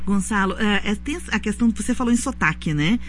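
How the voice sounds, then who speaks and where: A woman's voice: medium pitch, expressive, fast talker, slight background noise. A woman hosting a live radio interview, conversational, often tagging her sentences with a questioning "né". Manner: warm conversational with frequent "né?" tags. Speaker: radio host.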